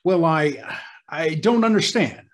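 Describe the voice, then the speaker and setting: A man playing an earnest, flustered hospital superintendent in an old-time radio drama, speaking haltingly and repeating himself. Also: on the high side, animated. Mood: troubled, earnest.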